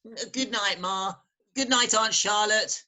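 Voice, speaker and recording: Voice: medium pitch, a little slow, bright, forceful tone. An actor playing a breezy, scheming upper-class British youth, wheedling and cocky in a stage farce. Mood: sly, innocent. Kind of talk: theatrical staged reading of Victorian farce. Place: home, recorded remotely.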